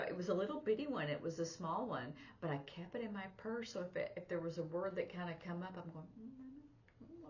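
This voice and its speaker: A woman's voice: fairly low pitch, lo-fi sound, slight background noise. A woman giving an informal spoken sign language lesson, rambling conversationally as she explains things through examples. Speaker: sign language teacher and interpreter.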